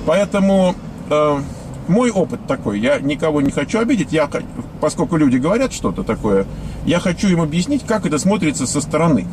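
A man's voice: fairly high pitch, very animated, a little fast. A man in his fifties from the Soviet Union with a Russian accent, giving a blunt, scornful monologue to viewers, full of hesitations. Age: 50s. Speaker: vlogger. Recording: loud outdoor traffic noise.

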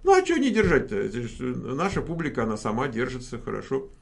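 A man's voice: medium pitch, big pitch swings, quick pace, gravelly. A man hosting a casual live question-and-answer stream, joking and rambling with many fillers. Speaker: host.

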